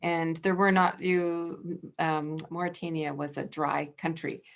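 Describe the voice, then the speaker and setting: A woman being interviewed as a network director, telling a story haltingly with many restarts and fillers. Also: low-pitched, level tone, gravelly.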